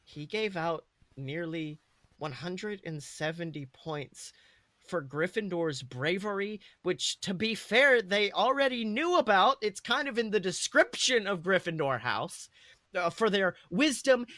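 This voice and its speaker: A man's voice: high-pitched, big pitch swings, a little slow, fairly bright tone, no room sound, pitched above the performer's own voice, exaggerated voice. A man voicing a proud, earnest schoolboy wizard who tells his own story with emphatic delivery. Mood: indignant. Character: boy Slytherin first-year student, proud, indignant, sorry. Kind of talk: first-person dramatic story reading.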